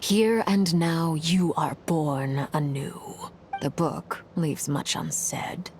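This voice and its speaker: A woman voicing a solemn, knowing mystical keeper of flames in a video game, speaking in a measured, formal way. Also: low voice, darkish tone, slight background noise. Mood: grave, hushed.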